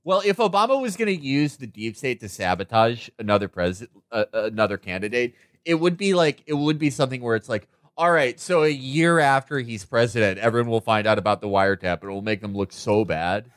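A man's voice: mid-range voice, animated, fairly quick, hardly any pauses, dry recording, studio quality. An American man co-hosting a casual political comedy podcast, ranting with plenty of profanity. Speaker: podcast co-host.

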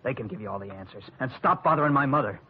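An actor playing an angry, protective tough brother, American, brusque and menacing, in 1940s radio style. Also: male voice, mid-range voice, expressive, rapid-fire, breathy edge. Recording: muffled, noisy old radio recording.

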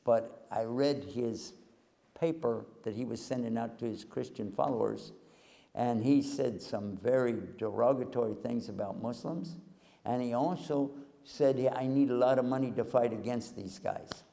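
A man's voice: mid-range voice, normal speed, creaky, warm tone. An older man from Texas, preaching a humorous lecture to a live audience on a handheld mic in a large hall, folksy and joking. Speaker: Islamic preacher and TV host. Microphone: handheld mic, far camera. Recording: long hall reverb.

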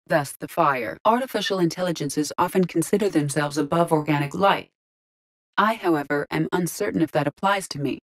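A woman playing a calm, courteous artificial intelligence in a video game, speaking with precise, formal, measured diction and a deadpan manner. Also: fairly low pitch, close to an everyday voice. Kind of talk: scripted video game dialogue.

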